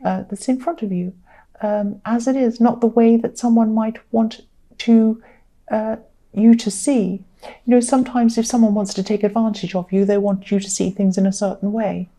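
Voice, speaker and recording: A tired woman with a slightly croaky voice, giving a conversational tarot reading to viewers in an earnest, gently advising way, repeating phrases for emphasis. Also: fairly high pitch, fairly even intonation, normal speed. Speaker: tarot reader.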